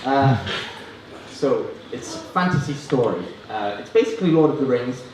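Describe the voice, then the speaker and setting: A man performing stand-up comedy, hesitant and self-deprecating, reading parody prose in a deadpan way. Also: medium pitch, normal speed, distant mic. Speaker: stand-up comedian reading own mock novels. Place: student union comedy stand-up event, indoors. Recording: roomy, noisy live room recording from afar. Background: audience laughter, room noise.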